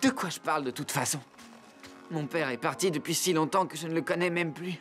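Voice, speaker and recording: A man playing a bitter, sarcastic human boy in a dubbed animated adventure, with a sullen, defiant way of talking. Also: on the high side, brisk, faint music behind, close to an everyday voice.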